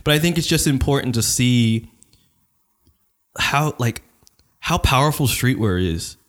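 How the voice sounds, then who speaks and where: A man's voice: medium pitch, measured pace. A man hosting a casual podcast, talking loosely and profanely with lots of restarts. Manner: loose, profane, animated talk with many restarts. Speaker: podcast host.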